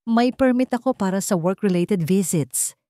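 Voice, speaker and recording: A woman's voice: medium pitch, normal speed, warm tone, dry recording, clean studio sound, natural voice. A woman voicing a proud, remorseful old tycoon father in a story narration, hopeful and determined. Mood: eager.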